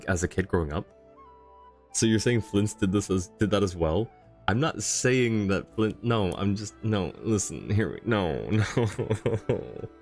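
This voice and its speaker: A man with a low voice chats to his stream while he plays. He jokes around and keeps breaking off his own sentences, with faint music behind him. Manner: joking, halting, self-interrupting. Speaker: livestreamer. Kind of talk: casual game stream commentary, chatting with viewers.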